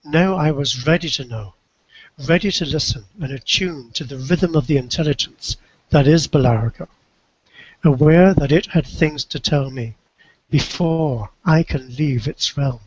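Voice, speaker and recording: A man reading a first-person literary narrative aloud in a measured, reflective storytelling way, with a husky voice. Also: fairly high pitch, no echo, highs cut off. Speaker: co-reader of a book.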